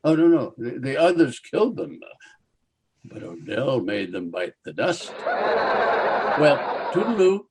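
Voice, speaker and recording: An actor playing a genial, morbidly jolly undertaker with formal, florid speech in a radio comedy. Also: male voice, medium pitch, sing-song, a little slow, pauses often, heavy background noise. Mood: proudly storytelling. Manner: formal, florid, chuckling delivery. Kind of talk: old-time radio comedy acting.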